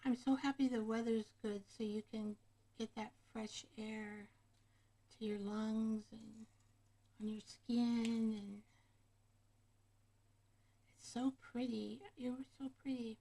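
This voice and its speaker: A woman's voice: fairly high pitch, steady tone, takes it slowly, long pauses, warm tone, rough recording. A woman hosting a casual craft livestream, rambling along and chatting directly with her viewers. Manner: rambling, chatty, often addressing viewers by name. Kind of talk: casual live chat with viewers. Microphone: camera microphone.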